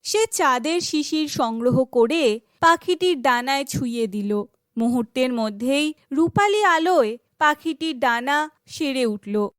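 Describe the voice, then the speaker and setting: A woman's voice: high voice, animated, a little slow, no room sound, studio quality. A woman reading scripted fairy tale narration for children, as a storyteller.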